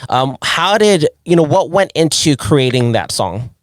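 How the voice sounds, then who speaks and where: A man's voice: fairly high pitch, sing-song, unhurried, slight background noise. A man from San Diego hosting a music interview show, friendly and leaning on fillers like "you know". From San Diego, California. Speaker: show host.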